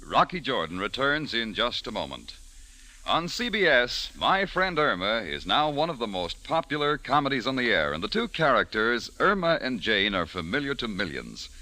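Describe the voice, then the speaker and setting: A man's voice: mid-range voice, very animated, moderate pace, pushed and bright, soft background music. An American man announcing in a polished, emphatic 1940s radio promo style.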